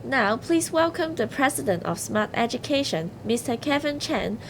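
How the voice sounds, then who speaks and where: A woman co-presents in a formal, scripted manner. She has a rather high voice, recorded with noticeable noise and a low rumble. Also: big pitch swings, normal speed. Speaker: co-presenter of competition results.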